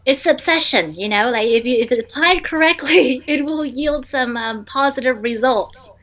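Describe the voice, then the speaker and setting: A woman's voice: high-pitched, normal speed, fairly bright tone. A young woman entrepreneur, a native Vietnamese speaker, answering interview questions in a chatty way full of ums and restarts.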